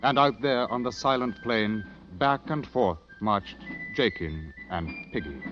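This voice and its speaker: An actor as the grave storyteller of a war adventure, narrating in a dramatic old radio style. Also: male voice, lowish voice, animated, unhurried. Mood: solemn. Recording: dull vintage radio recording, music behind. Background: dramatic music.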